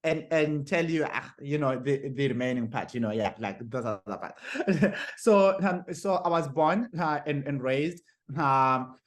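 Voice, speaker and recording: Halting, laughing man from Zimbabwe. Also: a little high. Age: young adult. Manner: halting, many fillers and repeated words, frequent laughs. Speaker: guest author. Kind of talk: podcast interview.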